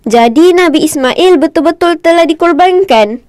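A woman's voice: extremely high pitch, lively intonation, normal speed, darkish tone, dry recording, clean studio sound. A woman voicing an inquisitive, cheeky little child in a cartoon, pert and questioning, with a Singaporean Malay accent. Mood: curious. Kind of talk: cartoon voice acting.

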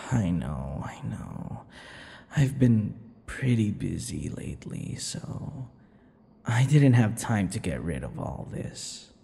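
A man playing a possessive vampire lover in an intimate ASMR roleplay, teasing and menacing, murmuring slowly with drawn-out words. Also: very animated, dark tone, exaggerated voice. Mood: doting, casual. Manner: slow, breathy murmurs, drawn-out words, low chuckles. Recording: long reverb, faint background noise.

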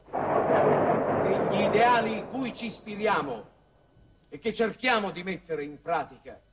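A man's voice: sits high, heavily acted. An actor playing a pompous middle-aged statesman giving grand, solemn oratory in an old 1960s TV drama. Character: pompous male statesman making a solemn official speech. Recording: distant, noisy old 1960s TV soundtrack.

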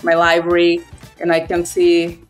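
A young woman, a native Brazilian Portuguese speaker, talks easily on a video call from a room at home, her voice sitting a little low. She chats in a relaxed way, leaning on "like" as she explains things. Soft music plays faintly underneath. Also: unhurried. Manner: relaxed, conversational, frequent "like" fillers. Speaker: developer relations guest. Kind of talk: casual video call chat.

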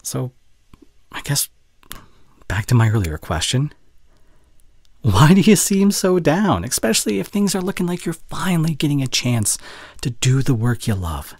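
A man playing a soft, breathy, caring boyfriend. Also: on the high side, very animated, normal speed, pauses often, no room sound, studio quality, exaggerated voice. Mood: concerned, tender. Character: caring, worried young boyfriend, gentle and earnest. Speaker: audio roleplay performer. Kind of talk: intimate boyfriend audio roleplay. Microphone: close studio condenser microphone.

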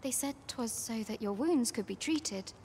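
A woman playing a caring young villager in a fantasy game, with soft, archaic formal speech. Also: on the high side, expressive, unhurried, slight background noise. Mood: tender, concerned. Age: young adult. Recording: music behind the voice.

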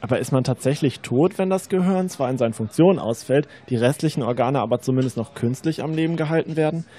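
A man plays a young male student in an educational radio play. He talks quickly in a soft, mellow mid-range voice. His rehearsed lines sound questioning and sceptical. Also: mid-range voice, lightly acted. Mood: sceptical, uneasy. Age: young adult.

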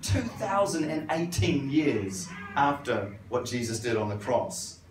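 A man in his forties preaching an animated sermon to a congregation in a church hall, speaking into a handheld mic. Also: mid-range voice, a little slow. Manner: animated, gesturing, rhetorical questions. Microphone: handheld mic, filmed from the audience. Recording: roomy hall, distant phone, some noise.

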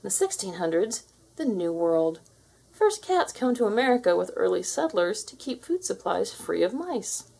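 A woman reading a children's storybook aloud in an expressive style. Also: mid-range voice, moderate pace, vocal fry, husky. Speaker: storybook reader.

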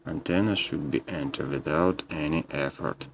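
A man's voice: a bass voice, normal speed, a little hiss or hum. A man narrating a scripted how-to as a repair technician, in terse step-by-step instructions.

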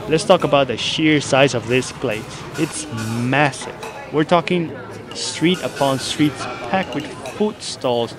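A young man reading a scripted, enthusiastic voice-over for a travel vlog. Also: medium pitch. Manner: enthusiastic, explanatory. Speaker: travel vlogger narrating.

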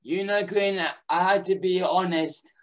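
A man's voice: high-pitched, fairly even intonation, a little slow. A young man playing a frank magic mirror in an amateur pantomime, reciting the lines plainly.

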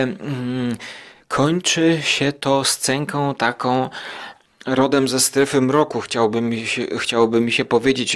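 A man's voice: medium pitch, a bit bright. A man hosting a podcast, casually commenting and often searching for words. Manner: hesitant, searching for words, enthusiastic. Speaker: podcast host.

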